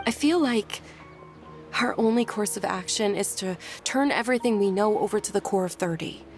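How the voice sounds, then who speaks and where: An actress voicing an earnest, dutiful young daughter in a video game, speaking sincerely, thoughtfully and a little hesitantly. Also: female voice, medium pitch, normal speed, faint music behind, lightly acted. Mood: subdued, sincere.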